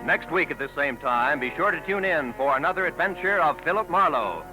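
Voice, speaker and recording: A man announcing credits and commercials on a 1940s network radio broadcast in a crisp, formal delivery. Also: on the high side, expressive, brisk, poor audio. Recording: old radio broadcast with faint music.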